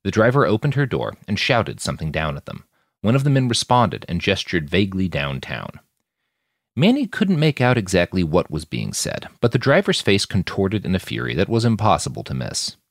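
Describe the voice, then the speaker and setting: A man's voice: sits low, big pitch swings, normal speed, no echo, studio quality. A man reading scripted fiction as an audiobook-style podcast narrator.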